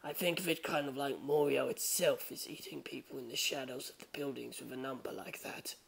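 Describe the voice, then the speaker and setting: A man voicing an aloof, bookish teenage boy in an audiobook, speaking softly in a detached deadpan. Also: mid-range voice, a little fast, vocal fry, husky. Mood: detached, morbid. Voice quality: hushed, soft.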